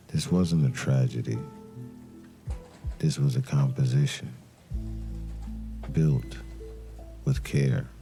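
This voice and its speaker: An actor playing a brooding, suspicious detective who narrates a noir crime drama in a grave, deliberate manner. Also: male voice, very deep voice, gravelly, warm tone, heavily acted. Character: brooding male detective, terse, suspicious and methodical. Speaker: narrator and lead character of an audio crime drama.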